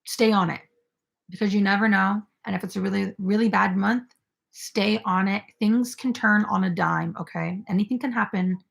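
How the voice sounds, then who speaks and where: A woman's voice: medium pitch, fairly even intonation, normal speed, vocal fry. A young woman hosting a casual crochet live stream, chatting with viewers and filled with "like" and "um". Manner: chatty, filler-heavy, reads viewer comments aloud.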